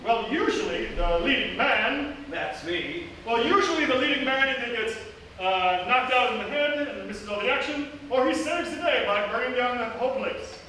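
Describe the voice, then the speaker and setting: A man's voice: very high voice, lively intonation, moderate pace, bright, forceful tone, heavily acted. A man playing a wry, melodramatic narrator in a live radio comedy, breaking into offhand asides. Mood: offhand explaining. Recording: echoey live theatre, distant mic, noisy.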